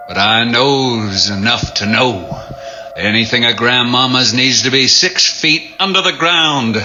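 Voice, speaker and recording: Harsh, growling old backwoods doomsayer. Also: male voice, mid-range voice, bright, forceful tone. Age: older. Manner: growling, folksy dialect, ominous drawn-out warnings. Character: ranting, sinister old backwoods man, menacing and superstitious. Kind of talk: audio drama horror acting. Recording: music behind the voice.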